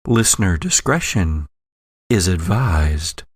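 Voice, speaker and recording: A man reading a scripted podcast introduction in a measured, formal delivery. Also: low-pitched, expressive, husky, no echo, clean studio sound.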